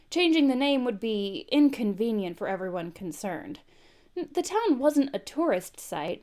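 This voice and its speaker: A woman's voice: medium pitch, a little mellow. A woman hosting an informal live read-aloud, speaking in a conversational way as she chats and narrates. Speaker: host reading a book aloud on a live stream. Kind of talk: informal livestream chat and audiobook-style narration.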